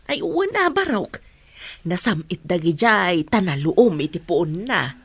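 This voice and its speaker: A woman's voice: on the low side, very animated, unhurried, slightly rough, dry recording, exaggerated voice. An actress playing a caring, principled village mother with a grown son in a radio drama. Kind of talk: Philippine radio drama acting.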